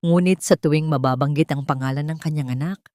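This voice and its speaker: Low-voiced woman narrating audio drama. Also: fast, darkish tone, no room sound, clean studio sound.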